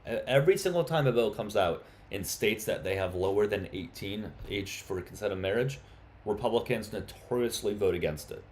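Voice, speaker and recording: A man with a lowish voice runs a casual live stream, greeting viewers and taking callers. He talks in an easy, chatty way but gets heated and insistent when he argues. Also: darkish tone. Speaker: livestream host.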